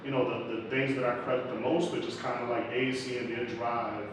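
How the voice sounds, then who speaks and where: A man's voice: medium pitch, flat delivery, fairly quick. Slangy New guy in an echoey room. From New York, the Bronx. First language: Spanish. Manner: loose and rambling, many fillers and street slang. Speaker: entrepreneur guest. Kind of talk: casual interview talk. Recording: echoey room, far from mic, noisy.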